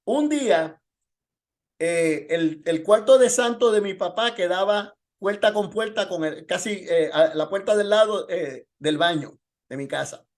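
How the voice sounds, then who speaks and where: High-pitched male pastor teaching. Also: unhurried. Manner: rhetorical questioning. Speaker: pastor teaching a Bible lesson. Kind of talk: informal sermon and Bible teaching with testimony.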